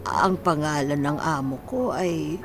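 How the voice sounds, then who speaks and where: A woman playing a frail, humble old woman in a radio drama, speaking haltingly and gratefully. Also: low voice, noisy background. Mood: hesitant. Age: older. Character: frail, humble, hungry old woman seeking housemaid work.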